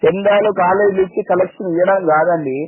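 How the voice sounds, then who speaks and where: A man's voice: high-pitched, steady tone, fast, breathy edge, dry recording. A man with a Telangana Telugu accent, defensively arguing and demanding evidence in a heated phone call. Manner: defensive, argumentative, keeps demanding proof. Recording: phone line, leaked call audio.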